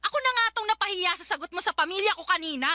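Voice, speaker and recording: A woman's voice: very high voice, expressive, rapid-fire, pushed and bright, no echo, clean studio sound, heavily acted. A woman acting a frustrated young woman in an emotional radio drama argument with her boyfriend. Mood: arguing, bitter. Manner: emotional, pleading and accusing.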